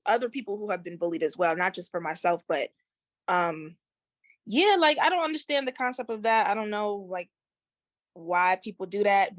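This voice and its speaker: A young woman from Haiti chats as a guest in an interview, loose and slangy, with plenty of likes and ums. Her mid-pitched voice comes through close and dry, with no room sound around it. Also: dry recording. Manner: relaxed, slangy, with frequent fillers. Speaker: singer, guest. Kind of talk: casual interview chat.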